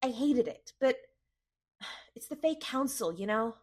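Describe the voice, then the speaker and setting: An actress playing an affectionate, excitable young woman, an effusive friend in a fantasy comedy audio drama. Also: high-pitched, measured pace. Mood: gentle, anxious.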